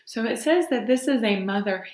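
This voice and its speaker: A woman's voice: fairly high pitch, lively intonation, normal speed, a little mellow. A woman teacher doing an interactive read-aloud for young children, explaining simply and expressively with questions along the way. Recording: roomy, echoing sound.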